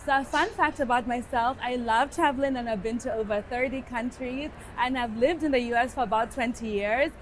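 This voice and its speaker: A woman's voice: fairly high pitch, a little fast, few pauses, low-quality recording. Kenyan-accented woman hosting a podcast. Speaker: dermatologist podcast host. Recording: live event with background noise.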